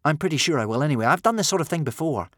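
A man's voice: fairly high pitch, animated, very fast, a bit bright, no echo, clean studio sound, pitched above the performer's own voice. A man voicing a small, earnest and determined Viking boy hero in a storybook.

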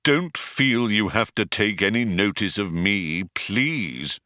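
A man voicing a gloomy, self-pitying robot in an audiobook, with a muffled, monotone drone and a slow, dejected, resigned delivery. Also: on the low side, dry recording, studio quality, exaggerated voice. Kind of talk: audiobook character voice.

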